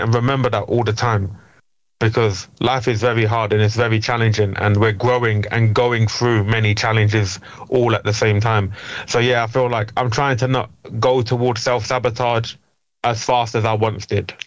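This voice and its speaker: A young man talking reflectively as an interview guest, often halting and repeating words. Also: on the low side, level tone, no room sound. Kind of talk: personal interview talk. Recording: slightly dull, dry sound.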